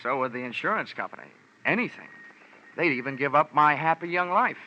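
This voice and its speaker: An actor playing a wisecracking, hard-boiled insurance investigator in a clipped, wry old-time radio style. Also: male voice, on the high side, expressive, fairly quick, heavy background noise, close to an everyday voice. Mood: wry, brisk. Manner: wry, clipped, slangy delivery. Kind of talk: old-time radio detective acting with first-person narration. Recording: dull old radio recording, music behind.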